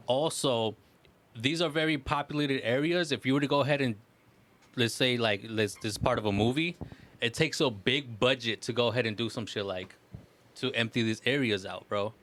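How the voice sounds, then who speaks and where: A man co-hosting a casual podcast, telling stories excitedly in slangy, profane language full of "bro" and "like". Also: mid-range voice, normal speed. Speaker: podcast co-host.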